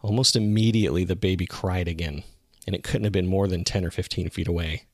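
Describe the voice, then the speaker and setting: A man playing a jogger who recounts a frightening experience in the woods, as scripted first-person horror narration told in a measured, suspenseful way. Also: low voice, fairly even intonation, no room sound, studio quality. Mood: measured, uneasy. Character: local male jogger recounting a terrifying discovery in the woods. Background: ambient sound effects.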